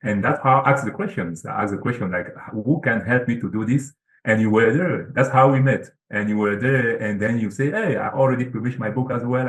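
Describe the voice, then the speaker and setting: A man's voice: lowish voice, fairly bright tone, echoey room, low-quality recording. A man from Cameroon, a guest author, talking earnestly over a video call with lots of fillers and repeats. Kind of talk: casual interview talk.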